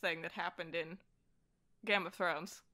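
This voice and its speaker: Woman, bright voice, casual podcast chat, animated storyteller, self-deprecating, laughs often. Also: fairly low pitch, measured pace. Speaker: podcast guest; voice actor. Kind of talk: casual conversational chat about fandom.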